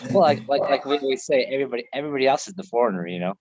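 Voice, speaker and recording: A man's voice: medium pitch, lively intonation, rapid-fire, lo-fi sound, a crowd in the background. An American man chatting casually on a live video call, laid-back, laughing often and swearing freely. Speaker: host.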